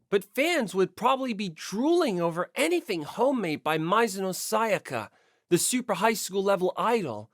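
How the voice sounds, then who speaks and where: A woman's voice: lowish voice, no echo, studio quality. A woman narrating a scripted first-person story expressively as an audiobook reader.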